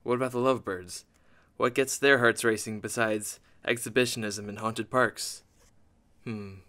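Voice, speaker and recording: Guy doing a snarky trapped ghost plotting scares, sharp voice, wisecracks, radio comedy. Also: mid-range voice, steady tone, moderate pace, close to an everyday voice. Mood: scheming. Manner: sarcastic, wisecracking. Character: sardonic, scheming male ghost trapped haunting a house. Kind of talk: comedic audio drama monologue.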